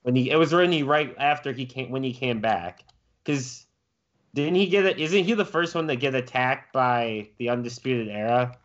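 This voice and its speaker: A man's voice: medium pitch, moderate pace, highs cut off. A man co-hosting a casual podcast chat, chiming in with facts and reading out the matches.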